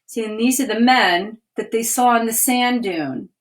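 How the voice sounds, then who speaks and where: A woman, a children's author, reading a picture book aloud on a live video stream, with gentle read-aloud pacing and pauses to point out the pictures. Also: fairly high pitch, rough recording. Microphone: phone or webcam on a live video stream.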